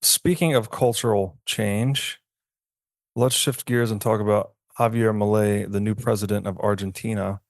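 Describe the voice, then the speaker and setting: A man hosting a podcast interview, setting up questions in a measured, inquisitive way. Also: on the low side, steady tone, no echo. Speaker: podcast host. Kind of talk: conversational interview.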